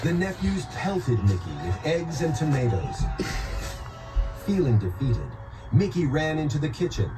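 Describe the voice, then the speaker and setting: A man narrating a scripted children's story in an expressive storytelling manner. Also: on the high side, measured pace. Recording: loud music behind narration. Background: music.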